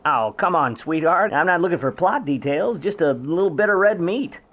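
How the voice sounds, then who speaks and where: An actor playing a wry, grudging, hard-boiled Hollywood private eye of the 1950s in old radio noir style, full of slangy wisecracks. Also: male voice, fairly high pitch, animated, normal speed, a bit bright. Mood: wheedling. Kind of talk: old-time radio noir acting with narration.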